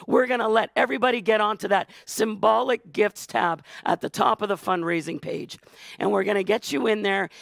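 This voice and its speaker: Hoarse, raspy high-voiced gala auctioneer. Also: male voice, a bit bright, no echo. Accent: Canadian. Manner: rapid hype, calling out donors. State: hoarse, losing voice. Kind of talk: high-energy live fundraising emcee. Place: studio stage with blue curtains.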